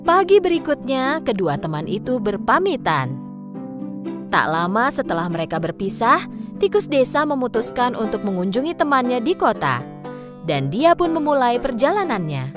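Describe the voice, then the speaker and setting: A woman's voice: fairly high pitch, big pitch swings, normal speed. A woman narrating a scripted children's story. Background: music.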